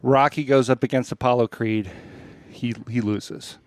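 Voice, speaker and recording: Halting man in casual chat, close dry sound. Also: mid-range voice, fairly even intonation, no room sound. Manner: halting, thinking aloud, repeats words. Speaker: podcast co-host introducing a radio play.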